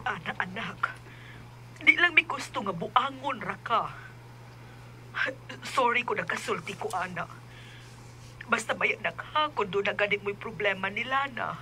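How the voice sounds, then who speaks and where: A woman's voice: on the high side, expressive, normal speed, long pauses, pushed and bright, slight background noise, poor audio. A woman acting a protective middle-aged mother in a radio drama, with a Cebuano Filipino accent. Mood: concerned, protective. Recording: radio drama over music bed.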